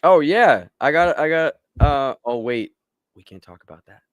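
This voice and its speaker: A man's voice: a little high, expressive, normal speed, dry recording. A man co-hosting a podcast, chatting about films in an informal, laid-back way. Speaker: podcast co-host. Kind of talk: casual chat about films.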